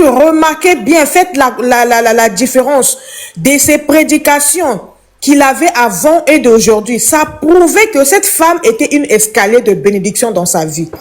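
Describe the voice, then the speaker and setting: A woman from Congo, calling into a live chat and giving an impassioned, preachy opinion that repeats its points. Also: on the high side.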